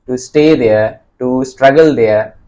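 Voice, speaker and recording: A male interviewer asks long, earnest questions at a steady pace. His voice sits in an ordinary middle register. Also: mid-range voice, slow pace, poor audio. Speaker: interview host.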